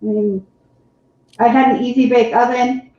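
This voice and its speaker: A woman's voice: fairly high pitch, fairly even intonation, measured pace, mellow. A woman co-hosting a live painting stream, chatting in a rambling way and laughing often. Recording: very echoey, dull lo-fi sound.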